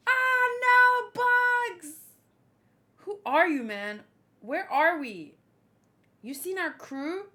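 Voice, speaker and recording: A woman's voice: very high-pitched, animated, very slow, pauses often, sharp tone, pitched above the performer's own voice, exaggerated voice. A woman reading as a wary, easily startled young manga pirate, full of questions and exclamations. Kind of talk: acted manga read-along.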